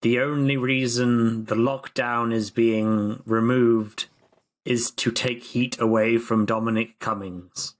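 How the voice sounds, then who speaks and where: A man co-hosting a podcast in loose, sweary chat. He rants with dry sarcasm, often tripping over his words and restarting his sentences. His voice sits in an ordinary middle pitch. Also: level tone, slow pace. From Britain. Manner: sarcastic, ranting, stammering restarts. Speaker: podcast co-host. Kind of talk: casual chat.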